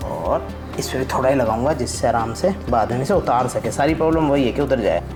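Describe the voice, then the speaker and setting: Chatty man over clear music. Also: medium pitch, brisk. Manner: informal, chatty, explains as he works. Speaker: vlog host and father. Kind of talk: casual chat while doing a DIY project. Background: clear background music.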